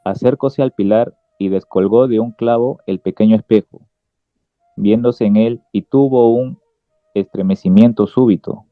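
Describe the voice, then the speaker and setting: A man's voice: on the low side, measured pace, dry recording. A man reading scripted literary narration as the narrator of a radio drama. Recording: dull lo-fi sound, music behind.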